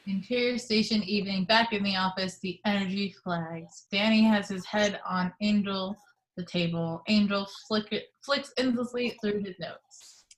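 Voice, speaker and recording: Brief: female stage-direction reader, even, matter-of-fact, home video-call mic. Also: medium pitch, unhurried, low-quality recording. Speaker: reader of the action description. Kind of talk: reading stage directions aloud. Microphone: video call microphone at home.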